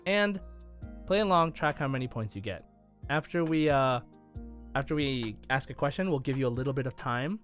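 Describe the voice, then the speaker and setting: A man hosting a gaming podcast in casual, enthusiastic talk, with halting starts and emphatic stresses. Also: mid-range voice, animated, faint music behind. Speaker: podcast host and quizmaster.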